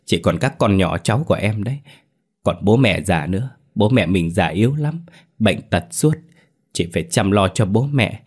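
A man's voice: steady tone, a little slow, pauses often, slightly rough. A man voicing a terrified, scheming woman who sobs and pleads for her life in a spoken horror story. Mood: accusing. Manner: sobbing, shrill pleading.

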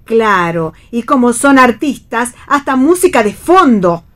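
A woman's voice: on the high side, sing-song, unhurried. A woman with an Argentine accent playing a scandalized, flustered mother of a grown daughter in a radio play reading, full of reproach. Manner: indignant, reproachful exclamations and questions.

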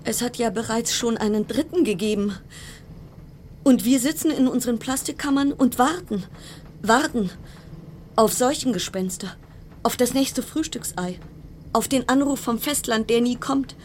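A woman's voice: fairly high pitch, moderate pace, natural voice. An actress as an anxious, sardonic woman over background noise. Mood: anxious, brooding. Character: anxious, sardonic woman quarantined aboard a cruise ship. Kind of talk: radio play interior monologue.

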